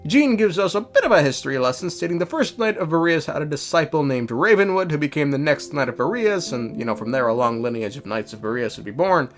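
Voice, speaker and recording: A man's voice: a little high, lively intonation, fast talker, hardly any pauses, faint music behind. A man giving a casual, irreverent game story recap with sweary, sardonic asides.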